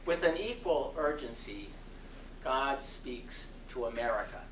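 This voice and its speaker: A man giving a dramatic reading of a sermon, oratorical and impassioned, with deliberate phrasing and strong emphasis. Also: medium pitch, animated. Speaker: reader of a sermon. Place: community center hall. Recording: distant mic in echoey room, faint noise.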